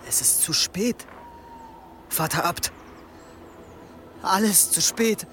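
An actor playing a tormented, penitent young monk in a German radio drama, halting, strained and fatalistic. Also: male voice, sits high, fairly bright tone, slight background noise. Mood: anguished, resigned.

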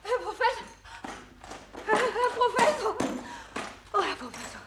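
Shocked nurse in 60s radio play, high pitched, faltering and upset, bit of record crackle. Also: female voice, big pitch swings, unhurried, heavily acted. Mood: horrified, frantic. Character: shocked, devoted female nurse, a disaster survivor. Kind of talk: 1960s German radio drama acting. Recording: noisy, crackly 1960s vinyl record.

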